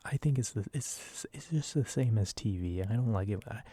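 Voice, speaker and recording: A man in his early twenties rambling softly and casually in an ASMR style, with a self-deprecating manner. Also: lowish voice, expressive, normal speed, husky. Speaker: ASMR video creator. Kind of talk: soft-spoken rambling ASMR.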